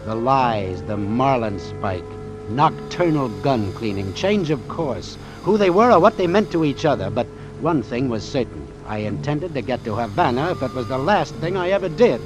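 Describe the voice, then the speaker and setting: A man's voice: medium pitch, expressive, normal speed, lightly acted. A man playing a wary, hard-boiled doctor who narrates his sea adventure in terse, suspenseful old-time radio style. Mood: tense, brooding. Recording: vintage radio drama with music underneath.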